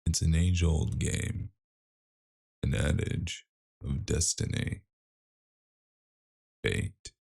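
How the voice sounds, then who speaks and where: Man playing a deep, slow, haunted noir loner. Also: fairly even intonation, vocal fry, exaggerated voice. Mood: bleak, resigned. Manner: cryptic, halting, fragmented phrases. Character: brooding, fractured male test subject of cyberpunk noir. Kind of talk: scripted noir audio drama acting. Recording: cavernous reverb, distant voice, loud music behind.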